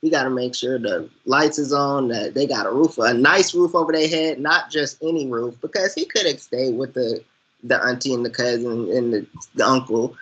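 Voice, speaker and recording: Informal American co-host with a sharp, bright tone and mid pitch, rambling through casual film talk. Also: normal speed, slightly rough, dull audio. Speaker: podcast co-host reviewing a film.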